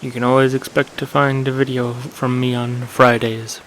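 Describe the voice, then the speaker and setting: Soft-spoken man musing about his channel. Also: mid-range voice, little pitch movement, normal speed, a little hiss or hum, poor audio. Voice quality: soothing. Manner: meandering, self-correcting with fillers. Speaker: gaming channel host. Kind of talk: casual reflective monologue.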